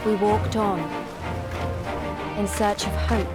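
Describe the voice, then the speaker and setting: Actress, reflective young warrior narration, slow, heavy score behind. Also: female voice, medium pitch, level tone, over loud music, close to an everyday voice. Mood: wistful. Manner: reflective, measured. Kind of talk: scripted narration.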